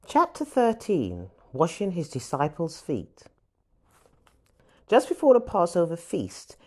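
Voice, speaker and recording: A woman's voice: lowish voice, a little mellow. A woman reading a Bible story as audiobook narration, expressively, with emphasis on the dialogue. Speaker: scripture reader.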